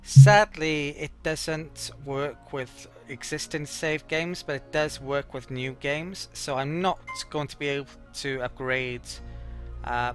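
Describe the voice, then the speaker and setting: A man's voice: medium pitch, fairly bright tone. A man giving casual gameplay commentary as a let's play host, thinking aloud in a hesitant, rambling way.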